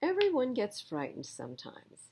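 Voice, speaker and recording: A woman's voice: fairly high pitch, wildly swooping pitch, moderate pace, darkish tone, a little hiss or hum, voice pushed up. A woman voicing a kindly, sensible male hare who worries about his friends in a children's storybook. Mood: reassuring.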